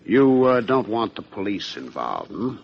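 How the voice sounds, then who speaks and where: A husky homicide lieutenant from an old radio play, dry and probing. His pitch ranges widely, and the recording is dull and aged. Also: very animated, a little slow, heavily acted. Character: brisk male homicide police lieutenant, dryly probing. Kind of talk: old-time radio drama acting.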